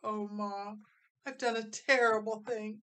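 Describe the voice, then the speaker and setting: A woman's voice: mid-range voice, level tone, unhurried, a bit bright, clear, resonant tone, voice pushed up, lightly acted. A woman voicing a guilty young boy in a storybook, tearfully blurting out a pleading, folksy confession. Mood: guilty, upset.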